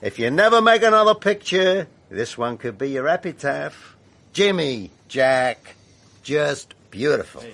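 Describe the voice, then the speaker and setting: An actor playing a brash, domineering American film director in a radio drama, boastful and foul-mouthed. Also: male voice, on the high side, big pitch swings, a little slow, vocal fry, fairly bright tone, heavily acted. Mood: boastful, commanding.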